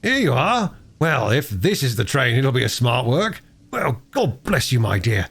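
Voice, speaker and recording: A man's voice: mid-range voice, big pitch swings, a little slow, a bit bright, heavily acted. A man doing a homely old railway porter. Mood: joyful. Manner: homely, rough-spoken. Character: kindly working-class railway porter, middle-aged man.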